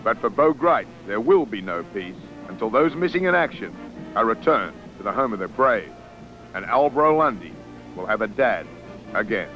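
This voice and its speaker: A man's voice: fairly low pitch, sing-song, moderate pace. Man narrating a news report over music. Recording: muffled archive news audio with music.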